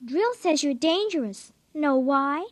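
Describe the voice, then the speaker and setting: An actress playing an eerie, calmly sinister little girl in a 1950s radio drama. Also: high-pitched, takes it slowly, no room sound. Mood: matter-of-fact. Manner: matter-of-fact, chillingly calm.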